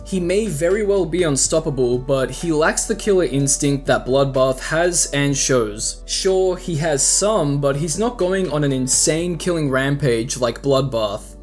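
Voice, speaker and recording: A man hosting a video with casual scripted commentary, talking conversationally and putting rhetorical questions to the listener. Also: a little high. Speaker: host and narrator of horror analysis videos.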